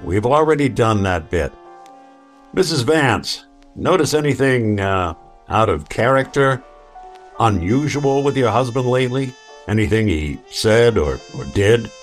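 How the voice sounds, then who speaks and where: An actor playing a weary, wry synth detective with a dry deadpan delivery in an old-time radio noir drama. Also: male voice, mid-range voice, a little slow, faint music behind, lightly acted. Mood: businesslike.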